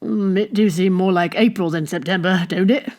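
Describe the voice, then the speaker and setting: A woman voicing a doleful old male villager in his seventies with a rural English dialect and a slow, mournful drawl. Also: fairly low pitch, a little mellow, close to an everyday voice.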